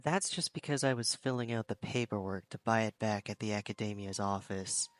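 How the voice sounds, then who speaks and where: A man voicing an expressive, easily exasperated young male game character with an animated, questioning fan-dub delivery. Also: on the low side, fairly quick, no room sound, lightly acted.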